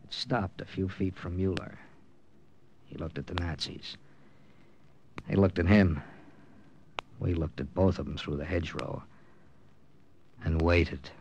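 A man's voice: sits low, fairly even intonation, a little slow, vocal fry, long pauses, highs cut off, a little hiss or hum. A man acting a wary, distrustful American captain and secret agent in an old radio war drama, with clipped hard-boiled delivery. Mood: tense, grim narration. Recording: old radio broadcast with loud music.

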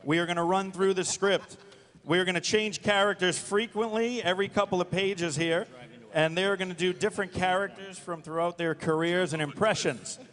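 A man hosting a live convention panel, announcing in a projected, energetic way from the stage. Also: high voice, normal speed. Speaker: panel host. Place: large convention hall stage. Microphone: handheld microphone. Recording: reverberant hall sound system.

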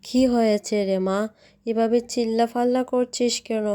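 A woman's voice: on the high side, fairly even intonation, moderate pace, dark tone, natural voice. A woman voicing a middle-aged village mother in a cartoon, caring but admonishing. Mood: dismissive, soothing.

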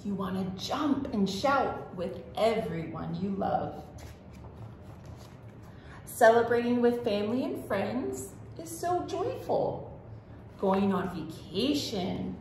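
Lively, slow-paced woman reading to children. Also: fairly high pitch. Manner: simple, expressive delivery addressed to young children. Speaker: children's librarian, storytime host. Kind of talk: read-aloud children's storytime. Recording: roomy echo with faint background noise.

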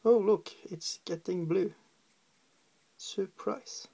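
A man's voice: high voice, gravelly, mellow. A man from Norway with a Norwegian accent, hesitantly talking through a miniature painting tutorial at his desk, with many fillers. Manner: hesitant, halting, many fillers, humming while working. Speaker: hobby painter. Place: at a desk indoors.

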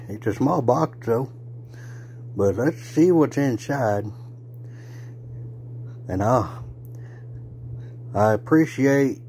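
A man, hoarse and losing his voice, chatting in a folksy, joking way while vlogging on a phone held at arm's length. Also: fairly low pitch, darkish tone, a little hiss or hum. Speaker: railfan vlogger. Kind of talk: casual folksy vlog. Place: outdoors by a car, then indoors at home.

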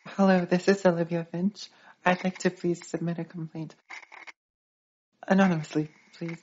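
Actress as sleep-deprived woman, horror game, hesitant pleading, lowish even pitch, muffled noisy tape. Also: normal speed, natural voice. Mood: uneasy, hesitant. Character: shaken, sleep-deprived woman filing a complaint. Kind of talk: recorded complaint in an analog horror game. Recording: dull lo-fi tape with clear noise.

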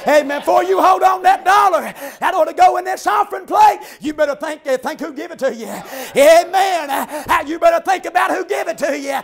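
A preacher with a very high voice delivers a revival sermon in a church. He shouts in a rhythmic, chanting cadence, punctuating nearly every phrase with "amen" and a drawn-out "ah.". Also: vocal fry.

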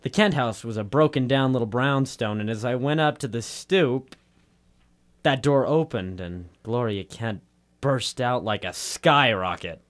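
A man playing a brisk, excitable, wisecracking detective's assistant in old radio drama. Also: medium pitch, lightly acted. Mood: wry narration. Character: wisecracking male legman of a private detective. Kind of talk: 1950s radio drama acting. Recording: vintage radio recording with music.

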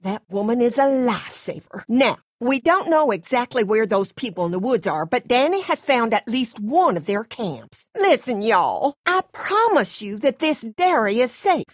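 A woman's voice: fairly high pitch, big pitch swings, normal speed, hardly any pauses, no echo, clean studio sound. A woman playing a middle-aged Southern farm mother from a video game, with folksy, effusive hospitality and a controlling streak. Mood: soothing, folksy. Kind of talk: video game character acting.

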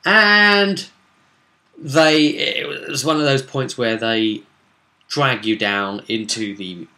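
A young man giving a casual, unscripted recap as a game master, speaking in a halting, rambling way. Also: medium pitch, bright, forceful tone. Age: young adult. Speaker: game master recapping a tabletop role-play session. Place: small home room, indoors.

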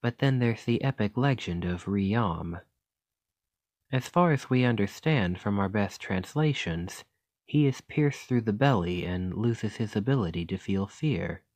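A man playing an earnest, chatty scholar in an ASMR roleplay, speaking gently and hesitantly as he rambles and interrupts himself. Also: fairly low pitch, expressive, unhurried, no echo. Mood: musing, rambling. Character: earnest, rambling male scholar gently reassuring a captive creature.

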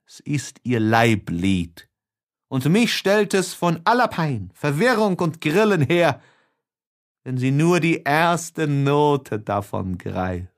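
Audiobook role: tormented young male lover, played by a male reader, slow, impassioned, sighing, sensitive. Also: a little high, sing-song, voice pushed up, full character voice. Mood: exalted. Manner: impassioned, sighing, swinging between hush and exaltation. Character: lovestruck, tormented, sensitive young man writing letters.

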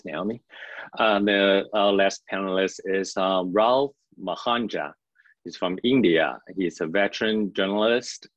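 A young man moderating an online panel, introducing guests in a measured, formal way with small fillers. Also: low-pitched, dry recording. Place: indoor home office on a video call. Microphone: video call. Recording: dull video call audio.

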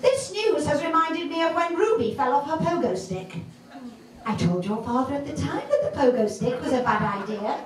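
Lady comic doing an anxious english granny, pedantic and scolding, voice climbs high, noisy echoey club. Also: expressive, moderate pace, crowd noise. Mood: fussy, rising alarm. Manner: fussy, long-winded, pedantic. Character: anxious, reproachful elderly English grandmother. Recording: very echoey noisy room, distant mic.

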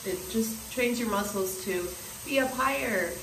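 A woman's voice: a little high, unhurried, a bit bright. A woman in her forties speaking earnestly to a phone camera in a small bathroom, musing with restarts while her throat sounds scratchy. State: scratchy throat, clearing it often. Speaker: spiritual vlogger. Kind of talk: casual spiritual monologue to camera. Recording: roomy bathroom echo, faint hiss.

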